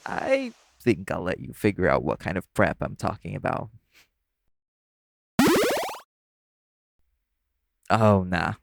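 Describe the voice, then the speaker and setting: A man's voice: sits low, very animated, normal speed, vocal fry, dry recording, clean studio sound, full character voice. A man playing an easygoing, teasing club performer who chats casually with a coworker in an intimate audio roleplay. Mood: relaxed, confiding.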